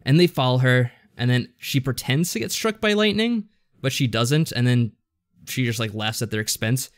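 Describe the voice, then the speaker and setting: A man with a mid-pitched voice and a dry, close sound talks as a podcast co-host. He recounts a story casually, in a rambling and unsure way, often backing up to correct himself. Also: lively intonation, moderate pace, no echo. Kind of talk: casual chat recapping a visual novel story.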